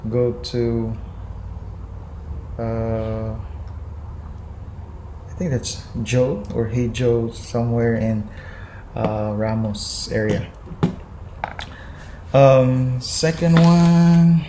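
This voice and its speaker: A man's voice: mid-range voice, noisy background. A Filipino man from the Visayas with a Visayan accent, hesitantly showing his filming gear at home and mixing in Tagalog. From Philippines, Visayas. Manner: hesitant, many ums and restarts, mixing in Tagalog. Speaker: vlogger. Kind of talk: casual gear show-and-tell. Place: indoors at home, kitchen. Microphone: phone camera on a chest mount.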